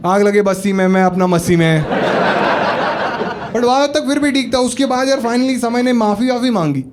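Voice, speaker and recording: A man's voice: high-pitched, noisy background. A man doing stand-up comedy, telling a story in a chatty way and asking the crowd questions. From Maharashtra, India. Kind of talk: stand-up set with crowd work. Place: comedy club stage. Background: audience laughter.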